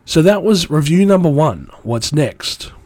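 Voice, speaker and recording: A man's voice: a little high, very animated, a little slow. A man with a cold hosting his own music review podcast, talking in an enthusiastic, rambling way. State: has a cold. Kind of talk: solo podcast commentary.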